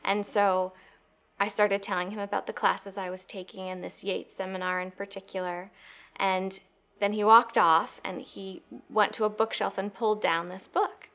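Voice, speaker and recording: A young American woman tells personal stories reflectively, with thoughtful, measured phrasing. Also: medium pitch, flat delivery, a bit bright. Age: young adult. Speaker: poetry reader telling personal stories.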